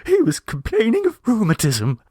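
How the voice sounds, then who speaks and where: Male reader as frantic young mother, storybook character, high shrill pitch, sobbing, swooping delivery. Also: wildly swooping pitch, moderate pace, dark tone, husky, no room sound, clean studio sound, exaggerated voice. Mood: aggrieved. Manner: wailing, sobbing. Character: distraught, shrill, tearful young mother, upper-middle-class Edwardian.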